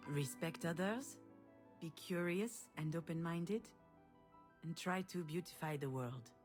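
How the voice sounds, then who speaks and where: A woman's voice: on the low side, unhurried, close to an everyday voice. Woman acting as a wry thoughtful big sister in a story game, earnest, natural delivery, music behind. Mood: wistful. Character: protective, reflective adult older sister and grieving mother. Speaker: game protagonist. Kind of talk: naturalistic drama voice acting, dialogue and inner monologue.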